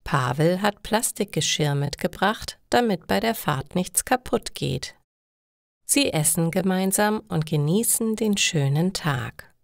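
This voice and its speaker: Woman, story narration for learners, very slow careful phrasing, lively intonation. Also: lowish voice, no room sound, clean studio sound. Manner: careful, simple, clearly separated phrases. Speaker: narrator of stories for language learners.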